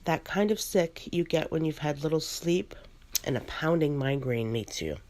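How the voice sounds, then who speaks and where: A woman chewing gum as she narrates a first-person ghost story in an ASMR style, pausing between short phrases. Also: sits low, lively intonation, a little mellow, slight background noise. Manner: chews gum while narrating.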